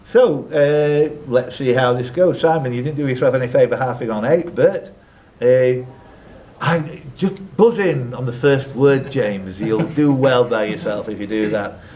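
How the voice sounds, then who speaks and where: A man's voice: mid-range voice, unhurried, warm tone. Chatty male quizmaster reading out questions. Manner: chatty, off-the-cuff asides between questions. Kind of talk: live pub-quiz hosting, reading out questions. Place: live quiz event at a social club.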